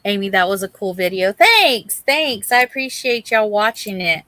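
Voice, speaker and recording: A woman's voice: medium pitch, animated, moderate pace, pushed and bright, no room sound. A woman co-hosting a casual livestream, chatting informally with viewers as she reads their comments. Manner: chatty, addresses and greets viewers by name. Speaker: livestream co-host reading and answering viewer chat.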